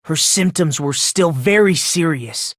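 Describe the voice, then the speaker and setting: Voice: medium pitch, lively intonation, unhurried, fairly bright tone, dry recording, studio quality. An actor voicing a polite but unsettling teenage boy in a video game, self-deprecating with a smug, condescending streak. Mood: pointed, incredulous.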